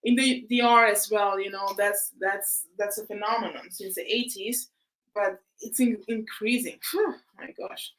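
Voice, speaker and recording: A woman's voice: mid-range voice, steady tone, measured pace, fairly bright tone, low-quality recording. A woman host, chatting casually on a video call, often laughing and restarting her words. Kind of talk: casual live chat.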